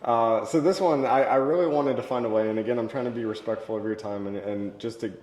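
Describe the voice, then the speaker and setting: A man's voice: mid-range voice, fast, a little mellow. A man hosting a podcast interview, earnest and respectful, asking long, winding questions. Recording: roomy echo, faint background ambience.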